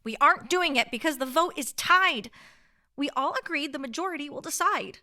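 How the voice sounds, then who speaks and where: A woman's voice: high voice, lively intonation, a little fast, sharp tone, heavily acted. A woman playing an earnest, principled, argumentative survivor in a radio play. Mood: indignant. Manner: earnest, pointed.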